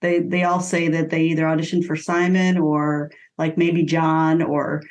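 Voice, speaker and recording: A woman's voice: low voice, little pitch movement, unhurried, a little mellow. Female interviewer with a chatty delivery. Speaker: interview host. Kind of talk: casual interview chat.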